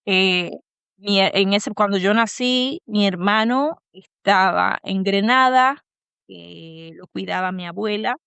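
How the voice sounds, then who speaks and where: A woman from the Dominican Republic, a guest in an interview, talking thoughtfully and pausing to find her words. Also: medium pitch, gravelly, fairly bright tone, clear, resonant tone, no echo, dull audio. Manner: thoughtful, pauses to search for words. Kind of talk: conversational interview.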